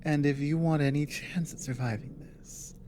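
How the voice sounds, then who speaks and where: A woman voicing a grave, war-weary female dragon in an ASMR roleplay, speaking earnestly and haltingly with dramatic pauses. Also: low-pitched, dark tone, a little hiss or hum, lightly acted. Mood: urgent, earnest.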